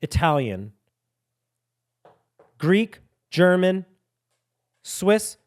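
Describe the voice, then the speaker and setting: A young man co-hosting a podcast, joking and rambling in casual chat with quick laughs. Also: high voice, sing-song, a little mellow, no echo, clean studio sound. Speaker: podcast co-host. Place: home studio with dogs.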